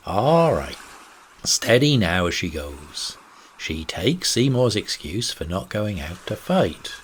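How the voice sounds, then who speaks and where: A man's voice: on the low side, slight background noise, exaggerated voice. A man voicing a shrewd, hearty old sea captain who talks emphatically in salty nautical terms, for a storybook reading.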